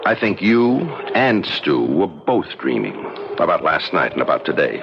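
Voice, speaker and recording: Lively, practical radio-drama husband. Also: male voice, rumbling low, normal speed, creaky, exaggerated voice. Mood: settled, assured. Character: practical, skeptical, somewhat impatient husband. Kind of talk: 1970s radio drama acting. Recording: noisy, muffled old radio broadcast recording.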